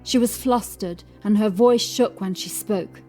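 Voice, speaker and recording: A woman's voice: mid-range voice, a little slow, warm tone, faint music behind. A woman narrating a scripted horror story in a dramatic audiobook style.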